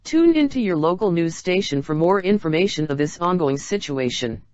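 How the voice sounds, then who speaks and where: A woman's voice: on the low side, lively intonation, moderate pace, a little mellow, no room sound, lo-fi sound. A woman reading a scripted emergency broadcast announcement in a formal, instructional manner.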